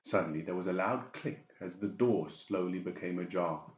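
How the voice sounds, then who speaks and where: A man's voice: deep voice, moderate pace. A man narrating a dramatic audiobook story from a script. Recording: roomy, slightly dull sound.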